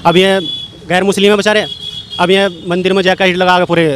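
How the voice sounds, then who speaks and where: High-voiced man answering on the street. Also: level tone, a little fast, fairly bright tone. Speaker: member of the public being interviewed. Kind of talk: informal street interview answers. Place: outdoors on a street. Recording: faint street and traffic noise.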